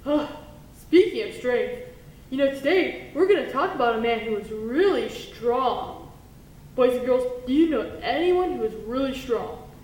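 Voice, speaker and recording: A woman voicing a cheerful, bossy puppet who teaches Bible stories to children in an exaggerated way. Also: a little slow. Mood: cheerful, playful. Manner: exaggerated, animated, talks to children. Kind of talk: children's puppet show storytelling. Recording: echoey room with low rumble and noise.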